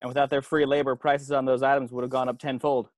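A man's voice: medium pitch, flat delivery, quick pace, no echo, studio quality, close to an everyday voice. A man playing a cocky, secretive former hand model with a dramatic, knowing, slangy delivery in an amateur comedy script read. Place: indoors at home on a video call. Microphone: video call.

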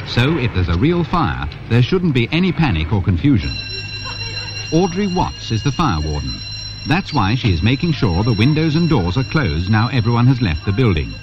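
Low-pitched, animated British male film presenter. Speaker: presenter and narrator of a fire safety training film. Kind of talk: scripted instructional narration to viewer. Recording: muffled, noisy 1980s 16mm film soundtrack.

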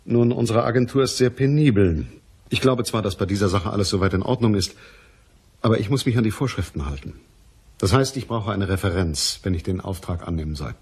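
An actor playing a sceptical private detective in a radio play, speaking in a dry, measured, matter-of-fact way. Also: male voice, on the low side, darkish tone, slightly rough, dry recording. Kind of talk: radio play narration and dialogue.

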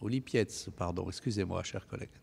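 A man's voice: sits low, brisk, gravelly, darkish tone, slightly rough. Man chairing a formal session, reverberant hall. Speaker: session chair giving the floor. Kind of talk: formal procedural announcement. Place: large indoor parliamentary chamber. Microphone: gooseneck desk microphones. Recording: reverberant parliamentary chamber.

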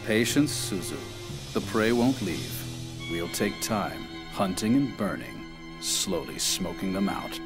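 A man playing a patient, sinister hunter with a measured, menacing delivery in an anime dub. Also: deep voice, lively intonation, heavily acted. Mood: quiet menace. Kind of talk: animated series dub acting. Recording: music behind the voice.

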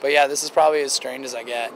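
A man in his late twenties, a band singer, answering interview questions in a relaxed, chatty way, his voice a little rough and strained. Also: mid-range voice, rapid-fire, slight background noise, poor audio. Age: 20s. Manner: relaxed, chatty, many "like" fillers. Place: outdoor table by a river. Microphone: handheld interviewer mic near the table.

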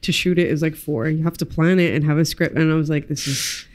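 A woman, a graphic designer chatting as a podcast guest, animated and loose, swearing and dropping Arabic words into her English. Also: sits low, fast. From United States. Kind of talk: casual interview chat. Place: studio room with pinned boards. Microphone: desk mic on stand, headphones.

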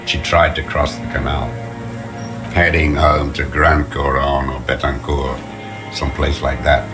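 An embittered old revolutionary in a video game drama. His voice is extremely low and his delivery slow, brooding and full of contempt, with music playing clearly underneath. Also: little pitch movement. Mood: grim, rambling. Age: older. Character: bitter old communist deserter, male, hateful and rambling.

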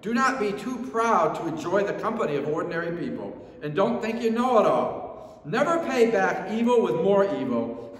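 A middle-aged man with an American accent preaching a sermon as a pastor, earnest and emphatic, repeating phrases for stress. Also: high-pitched. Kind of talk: sermon with scripture reading. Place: church sanctuary, standing at a lectern. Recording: very echoey church hall.

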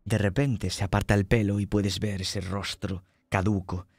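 A man narrating a tabletop horror game as game master, slow and dramatic as he describes scenes. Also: fairly low pitch, level tone, vocal fry, a little mellow, dry recording, clean studio sound.

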